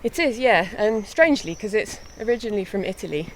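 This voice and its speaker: A woman's voice: mid-range voice, fast, rough recording. Young woman, easygoing chatty guest, outdoor hill walk, faint wind noise. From born in England, raised in mid Wales. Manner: relaxed, chatty, laughs lightly. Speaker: harpist and guest. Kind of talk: casual walking interview.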